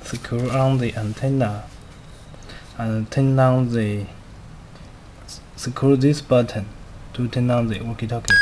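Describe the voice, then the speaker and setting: Halting non-native man demonstrating over noise. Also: medium pitch, fairly even intonation, darkish tone. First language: not a native English speaker. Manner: halting, simple clipped phrasing. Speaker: product demonstrator. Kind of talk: step-by-step spoken product demonstration. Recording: clearly noisy amateur recording.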